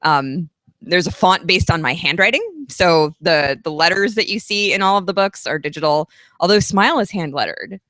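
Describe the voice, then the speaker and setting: A woman's voice: lowish voice, normal speed, fairly bright tone, no echo. A woman in her thirties, a guest author at a live event, giving long, chatty, earnest answers. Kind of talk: casual interview at a live event.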